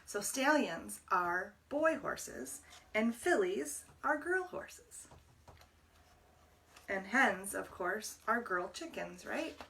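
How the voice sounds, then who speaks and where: Slow-paced woman reading to children, slightly distant. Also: medium pitch, expressive, vocal fry, bright, forceful tone, slight background noise. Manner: deliberate, explains words to young listeners. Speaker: library storytime reader. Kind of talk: children's picture book read-aloud.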